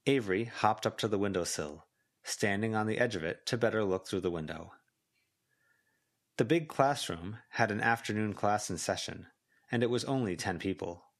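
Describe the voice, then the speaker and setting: A man's voice: lowish voice, moderate pace. A man reading scripted fiction as a volunteer audiobook narrator.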